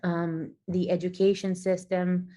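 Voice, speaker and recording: A woman from Venezuela speaks as a podcast guest in a fairly low voice. She talks thoughtfully and conversationally, often circling back and restarting her sentences. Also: level tone, unhurried, dark tone. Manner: thoughtful, with frequent restarts and fillers. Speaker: guest, physical therapist and athlete. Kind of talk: conversational interview answers.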